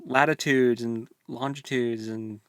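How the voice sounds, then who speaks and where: A man's voice: medium pitch, steady tone, measured pace, smooth, no echo. Man, mid-range voice, rambling, easygoing, casual book talk, dry close sound. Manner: rambling, lots of fillers and restarts, easygoing. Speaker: book podcast co-host.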